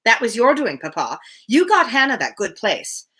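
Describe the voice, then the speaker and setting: A woman reads as a cheerful young gentlewoman of a storybook. She is patient and reassuring, with a bright voice and lively pitch. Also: normal speed, husky, exaggerated voice. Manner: patient, coaxing. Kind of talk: storybook reading of dialogue.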